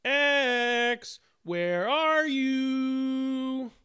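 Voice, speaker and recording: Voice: high-pitched, very slow, bright, forceful tone, smooth, voice pushed up, exaggerated voice. A storybook reader voicing eager, helpful cartoon alphabet letters like childlike schoolmates, with exclaiming, singsong delivery. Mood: calling out.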